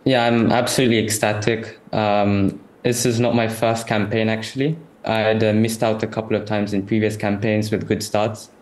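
Teenage boy with a Southeast London accent, lowish voice, hesitant polite interviewee. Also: little pitch movement, normal speed, hardly any pauses. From India, raised in the UK. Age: teens. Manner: hesitant, many fillers and restarts, polite. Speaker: interview guest, chess player. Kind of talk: remote interview answers.

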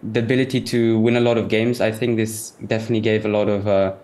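A man's voice: on the low side, flat delivery, fast, darkish tone. A teenage boy with a Southeast London accent, answering interview questions politely and hesitantly, with many fillers and restarts. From India, raised in the UK. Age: teens. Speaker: interview guest, chess player.

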